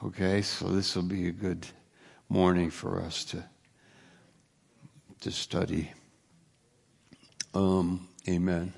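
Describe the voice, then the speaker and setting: Slow, gravelly-voiced male pastor. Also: low-pitched, flat delivery. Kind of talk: sermon.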